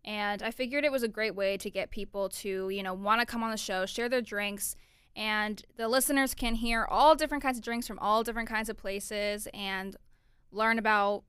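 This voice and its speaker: A twenty-year-old woman from Florida talks chattily as host of her own podcast, with a bright, forceful tone. Her voice is smooth and crisp, and the sound is dry and close. She keeps up an upbeat flow with plenty of "like" and "you know". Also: mid-range voice, steady tone, moderate pace, dry recording. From Orlando, Florida, USA. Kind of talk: casual solo podcast chat.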